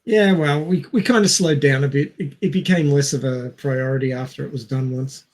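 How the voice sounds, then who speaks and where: A man's voice: mid-range voice, steady tone, a little fast. A man talking casually on a discussion podcast, explaining things in a dry, understated way. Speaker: podcast co-host or guest.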